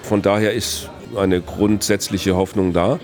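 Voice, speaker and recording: Older guy, philosophy prof, lowish voice, slow careful reasoning in long sentences. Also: little pitch movement. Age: 60s. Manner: measured, reflective, builds long reasoned sentences. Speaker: philosophy professor, interviewee. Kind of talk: thoughtful interview answers.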